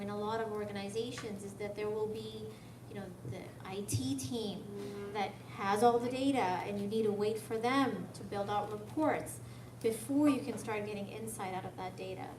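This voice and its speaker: A soft-spoken woman from Canada giving an informal lecture in a hesitant, conversational way, often posing questions. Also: mid-range voice. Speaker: guest speaker, software development manager. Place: lecture room at a tech school. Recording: echoey room, distant mic, noisy background.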